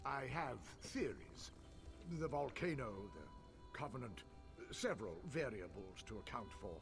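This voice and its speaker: An actor playing a scholarly, impatient fantasy-game mage who muses pedantically and talks down to people. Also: male voice, mid-range voice, very animated, unhurried, over music. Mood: speculating. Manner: pedantic, musing, condescending. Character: scholarly elf mage, fascinated by spirits, impatient. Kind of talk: video game character acting.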